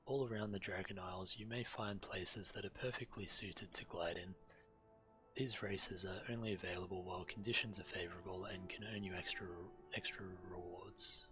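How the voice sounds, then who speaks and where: Deep-voiced man streaming a game. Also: fairly even intonation, normal speed, bright, forceful tone, faint music behind. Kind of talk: reading quest text aloud and casual game commentary.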